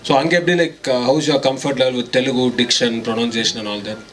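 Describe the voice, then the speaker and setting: Rapid-fire male reporter from afar. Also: medium pitch, fairly bright tone. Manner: rapid, mixes Tamil and English. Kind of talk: press conference question. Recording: voice from far off the mic.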